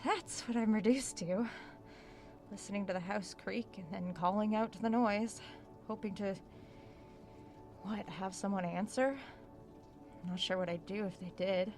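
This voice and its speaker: A woman's voice: medium pitch, fairly even intonation, a little slow, pauses often, darkish tone, natural voice. Female actor as uneasy haunted-house diarist, horror audio drama; medium register, hesitant thinking-aloud delivery over music. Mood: uneasy, reflective. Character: uneasy woman writer investigating a haunted house. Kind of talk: horror audio drama acting, diary narration.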